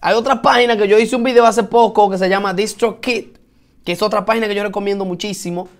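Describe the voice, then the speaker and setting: High-voiced Dominican man chatting live. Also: quick pace. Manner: chatty, greets viewers and answers their comments; lively and encouraging, repeats himself for emphasis. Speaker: host of a live stream for musicians. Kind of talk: casual live-stream chat.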